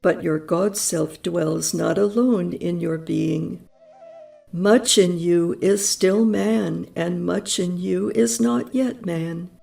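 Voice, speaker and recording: A woman reading prose poetry aloud in a slow, solemn, reverent manner, with measured phrasing and long pauses. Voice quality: gravelly, low and mellow. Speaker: audiobook reader. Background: soft music.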